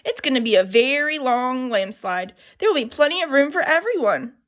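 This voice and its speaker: A woman voicing a group of excitable young lambs who sound eager and a little whiny. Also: high voice, normal speed.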